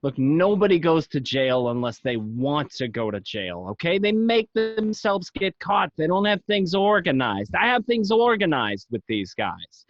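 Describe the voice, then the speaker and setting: A man's voice: a little high, sing-song, measured pace, few pauses, no room sound, faint music behind. A man acting a cocky young mobster husband, brash and insistent, in a radio play. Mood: cocky, dismissive. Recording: dry, slightly dull home recording.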